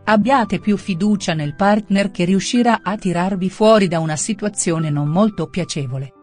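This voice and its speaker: A woman's voice: medium pitch, normal speed, darkish tone. A woman reading a scripted daily horoscope as narration. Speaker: horoscope reader. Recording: music under the voice. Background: background music.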